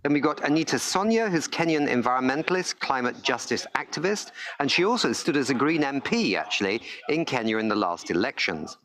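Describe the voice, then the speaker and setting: Informal male panel host, medium pitch. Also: sing-song, a little fast, hardly any pauses, a bit bright. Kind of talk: unscripted live panel hosting.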